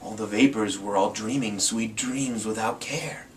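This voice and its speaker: A man's voice: lowish voice, a little slow. A man giving a playful, theatrical dramatic reading of a rhyming Christmas story as the storyteller. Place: room with a green screen. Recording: distant mic, some background noise.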